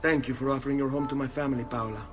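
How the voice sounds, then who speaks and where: A man's voice: mid-range voice, fairly even intonation, very fast, soft background music, lightly acted. An actor playing a proud, vengeful young Italian nobleman with an Italian accent. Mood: polite, curious.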